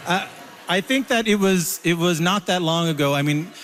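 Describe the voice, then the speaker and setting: Halting male interviewer in a hall. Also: high-pitched, a bit bright. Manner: halting, stammering, circles toward his questions. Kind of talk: conversational live interview. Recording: reverberant hall, some crowd sound.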